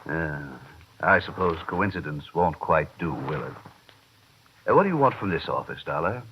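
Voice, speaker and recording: A man's voice: a bass voice, lively intonation, moderate pace. An actor playing a brusque, world-weary consular official in a vintage radio drama, hemming drily as he talks. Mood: dry, officious. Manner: hesitant hemming, dry, officious. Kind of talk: 1950s radio drama acting. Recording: muffled, noisy vintage radio recording.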